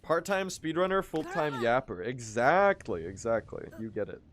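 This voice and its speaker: A young man chatting casually to his stream while speedrunning, self-mocking and quick to laugh, his voice still recovering from strain. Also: mid-range voice, big pitch swings, fairly bright tone, slight background noise.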